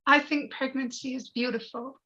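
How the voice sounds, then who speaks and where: Woman playing a high-voiced, gushing would-be mother. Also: fairly even intonation, normal speed, bright, forceful tone, muffled recording. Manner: gushing, polite, earnest. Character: gushing, earnest wife yearning for motherhood. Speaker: actor in a live table read. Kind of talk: screenplay table read.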